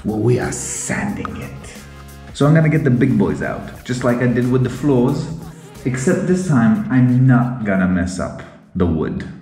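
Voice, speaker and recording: A man's voice: mid-range voice, very animated, measured pace, distant mic. Upbeat man in a very echoey room. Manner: upbeat, chatty, jokey. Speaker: vlogger renovating his house. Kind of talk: casual home renovation vlog. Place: bare room under renovation, indoors. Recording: very echoey empty room with long reverb, music underneath.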